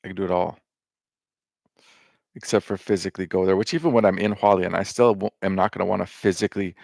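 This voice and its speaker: A man with a fairly low voice and a lot of gravel and vocal fry talks through a casual, rambling monologue. He wanders between thoughts with fillers and restarts and swears without a second thought. The sound is dry and close, with no room around it. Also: steady tone, fast talker, a little mellow, no room sound. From United States. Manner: loose and meandering, with lots of fillers, restarts and swearing. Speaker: vlogger.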